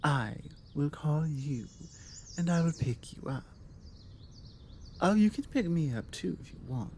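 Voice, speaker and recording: A woman with a British accent playing a forward, confident female vampire in an intimate ASMR roleplay, teasing and coaxing with deliberate pauses. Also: sits low, slight background noise. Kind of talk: ASMR roleplay, intimate one-sided conversation. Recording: steady outdoor ambience with birdsong. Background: birdsong and outdoor ambience.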